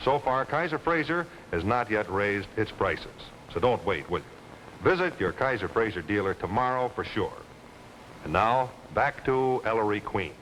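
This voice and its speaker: A man's voice: fairly low pitch, expressive, normal speed. A man reading a 1950s television car advertisement as the announcer. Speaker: commercial announcer. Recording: faintly noisy old TV soundtrack.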